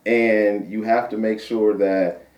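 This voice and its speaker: Deep-voiced guy chatting as a guest, keeps repeating bits and going "right?", lowish voice, echoey room. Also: fairly even intonation, slow pace, darkish tone. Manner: repeats himself, tags points with "right?". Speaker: guest poet. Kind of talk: casual interview chat. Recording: roomy sound from a distant mic.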